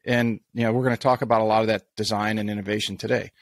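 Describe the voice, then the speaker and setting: A man's voice: on the low side, little pitch movement, rapid-fire, no echo. A man hosting a golf podcast, talking in long rambling sentences with many restarts and asides. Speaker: podcast host. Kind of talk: casual interview chat.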